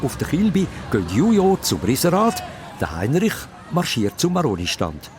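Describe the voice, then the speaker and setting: A man with a Swiss German accent narrating a children's radio play in an animated storytelling style. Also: fairly high pitch, moderate pace. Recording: music behind the voice.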